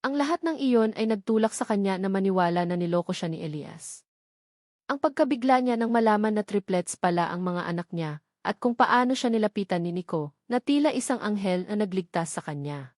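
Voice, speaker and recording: A woman's voice: medium pitch, animated, brisk, darkish tone, no room sound. Woman narrating a romance story, dry studio sound.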